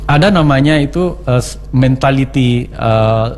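A man with a South Sulawesi Indonesian accent giving explanatory answers in a radio interview, emphatic and full of rhetorical questions, halting to find words. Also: mid-range voice. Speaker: government official, interview guest. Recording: clear background noise with hum.

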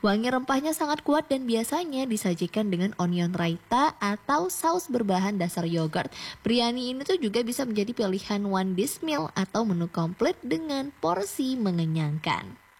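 A young adult woman radio announcer, reading a scripted segment in a lively, chatty way and often speaking straight to her listeners. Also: on the high side, moderate pace, breathy edge. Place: radio studio.

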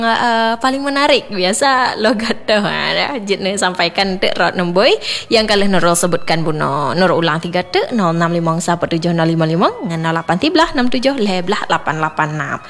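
A woman's voice: medium pitch, normal speed, a bit bright. A chatty woman with an Acehnese accent, hosting a live radio phone-in in a casual style. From Aceh, Indonesia. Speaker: radio host. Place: radio studio. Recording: long reverberant hall-like echo.

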